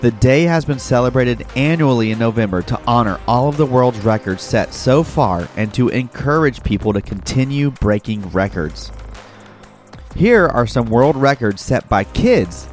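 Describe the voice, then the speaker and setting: A man's voice: medium pitch, a little mellow. Encouraging male kids' podcast host over music. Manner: encouraging, addresses young listeners directly. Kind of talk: scripted narration and game hosting for children. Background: background music.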